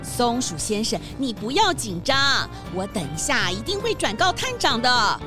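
A woman voicing a polite, patient woodpecker secretary in a children's detective audio drama, soothing and expressive. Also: on the high side, unhurried, music in the background.

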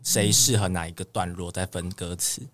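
A man's voice: low-pitched, level tone, moderate pace, a bit bright. A young man with a Taiwanese Mandarin accent, chatting earnestly as an idol singer guest in an interview and explaining things step by step. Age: young adult. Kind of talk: casual interview chat.

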